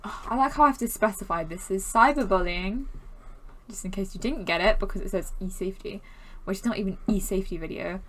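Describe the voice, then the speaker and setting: A teenage young woman vlogger reacts in casual chat. She pokes fun at herself and banters easily, with a mid-pitched voice. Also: quick pace, poor audio. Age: teens, around 16. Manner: self-mocking, bantering. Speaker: vlogger reacting to own old videos.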